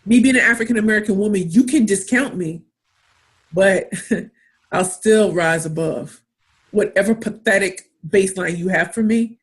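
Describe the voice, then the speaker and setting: A woman with a St. Louis accent coaching a workshop on pitching, speaking emphatically and repeating key phrases for punch. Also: moderate pace. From St. Louis, Missouri. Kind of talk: motivational workshop talk.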